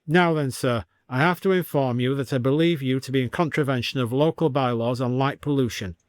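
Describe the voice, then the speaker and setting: A man's voice: medium pitch, brisk, no room sound, clean studio sound, close to an everyday voice. A man voicing an officious village policeman with formal, bureaucratic phrasing in a story reading.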